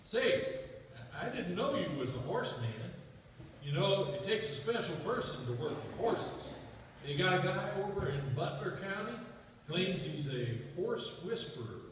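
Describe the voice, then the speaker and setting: A man's voice: high voice, big pitch swings, measured pace. A man playing a folksy, self-important small-town sheriff in a live radio play. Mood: folksy, nosy. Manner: folksy rustic grammar. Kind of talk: live radio theatre acting. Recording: echoey noisy hall, distant mic.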